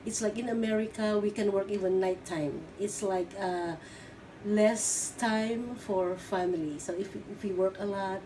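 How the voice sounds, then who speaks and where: A middle-aged woman from the Philippines, answering oral history questions in a halting way with many fillers and restarts. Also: medium pitch, dark tone. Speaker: interviewee. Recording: distant mic with background noise.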